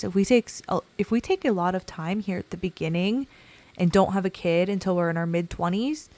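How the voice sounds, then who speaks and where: A woman hosting a relaxed gameplay video, her voice scratchy and croaky from a cold, chatting in a laid-back, self-deprecating way. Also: lowish voice, no echo. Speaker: gaming channel host. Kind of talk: casual gameplay commentary.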